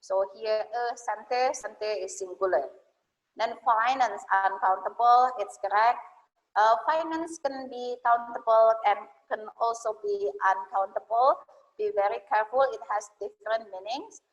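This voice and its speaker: A woman's voice: fairly high pitch, measured pace, big-room sound, highs cut off. A woman from Indonesia teaching English grammar in a webinar, explaining patiently with frequent fillers and self-corrections.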